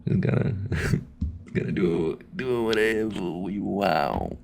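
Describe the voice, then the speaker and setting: A young man making an ASMR video, talking softly in a laid-back, slangy way and often whispering. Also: sits low, slow. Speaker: ASMR creator. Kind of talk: casual ASMR ramble. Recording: clear background noise.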